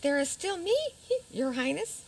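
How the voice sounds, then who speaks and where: A woman's voice: high-pitched, expressive, measured pace. A woman playing an indignant, sarcastic fairy-tale princess pleading her case with pointed delivery in a radio theater comedy. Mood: insistent, formal.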